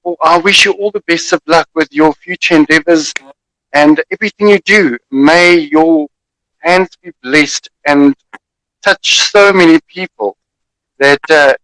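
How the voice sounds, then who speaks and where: A South African man with an Afrikaans accent hosts a radio interview, pausing often with fillers. His voice sits fairly high, and the sound is dry, like a video call. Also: no room sound, poor audio. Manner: warm, hesitant, with many fillers. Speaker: radio host.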